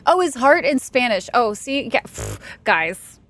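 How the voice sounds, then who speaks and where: A young woman from Texas talks to her stream chat while she plays, her voice sitting a little high. She rambles casually, pokes fun at herself and laughs often. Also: very animated, measured pace, a bit bright. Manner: chatty, self-deprecating, laughs often.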